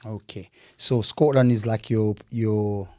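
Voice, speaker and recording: A man's voice: lowish voice, fairly even intonation, slow, mellow. A man hosting a podcast, interviewing in a casual style and often repeating words and phrases back. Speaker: podcast host.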